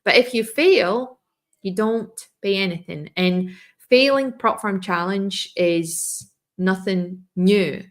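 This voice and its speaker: A woman hosting a live trading stream, losing her voice and clearing her throat as she explains in a loose, restarting way. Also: mid-range voice, slow pace.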